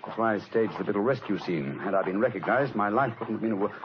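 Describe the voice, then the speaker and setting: An actor playing a brisk, confident gentleman detective in an old radio play. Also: fairly low pitch, close to an everyday voice. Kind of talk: 1940s radio acting. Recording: muffled old radio recording, faint hiss.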